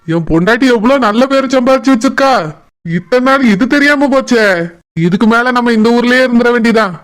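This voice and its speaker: Someone voicing a high-pitched boastful cartoon husband. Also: male voice, brisk. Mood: smug, boastful. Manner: self-important, pleading when challenged. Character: boastful, gullible husband basking in his wife's local fame. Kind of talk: comic cartoon dialogue.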